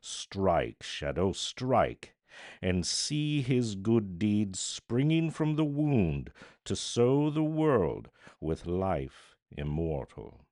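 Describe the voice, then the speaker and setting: A man narrating literature as an audiobook reader, theatrical, deliberate and solemn. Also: fairly low pitch, very animated, darkish tone, no room sound, clean studio sound.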